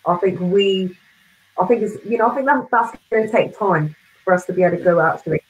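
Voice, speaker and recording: A woman's voice: mid-range voice, a little fast, dull audio. A woman hosting an interview over a video call, talking in a hesitant way with likes and ums. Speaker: interviewer, host. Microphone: webcam on video call.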